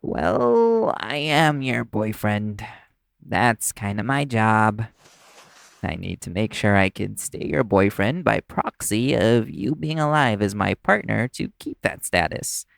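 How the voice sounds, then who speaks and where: A man acting as a caring, gently teasing boyfriend in an intimate audio roleplay, attentive and softly insistent. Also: on the low side, expressive, slow, gravelly, no echo, studio quality, full character voice. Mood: tender concern.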